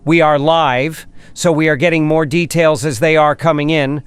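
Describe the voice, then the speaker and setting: Man as flustered newsman, clear higher voice. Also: fairly even intonation, no echo, natural voice. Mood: flustered, urgent. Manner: halting, stalling, repeating himself. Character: flustered live breaking-news announcer, male. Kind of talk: emergency news broadcast.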